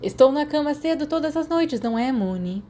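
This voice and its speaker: A woman's voice: high-pitched, expressive, a little fast, warm tone, a little hiss or hum, pitched above the performer's own voice. A Brazilian woman voicing a cheeky, theatrical teenage boy wizard in an audiobook, teasing and mock-indignant. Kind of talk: audiobook character voice.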